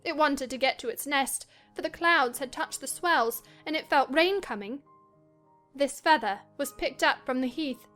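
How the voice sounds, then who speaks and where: A woman acts out a feverish young gentlewoman in a dramatized classic novel. Her voice is very high and theatrical, drifting from dreamy murmuring to anxious, fearful outbursts, with music underneath. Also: unhurried. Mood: dreamy, wandering.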